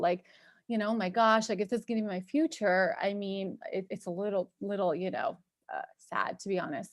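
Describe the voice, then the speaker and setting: A woman's voice: mid-range voice, dry recording. Middle-aged woman guest, Turkish-speaking New Yorker, chatty and upbeat, close dry mic. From New York, USA. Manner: chatty, upbeat, many ums and likes. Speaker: guest, cosmetic chemist and entrepreneur. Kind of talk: casual interview storytelling.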